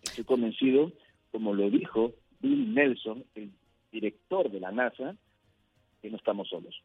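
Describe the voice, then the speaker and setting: A man from Peru, answering as a radio interview guest in measured, emphatic phrases. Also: medium pitch, huge pitch swings, gravelly, breathy edge, dry recording, faint music behind. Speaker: interview guest, UFO researcher. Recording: thin phone-like line.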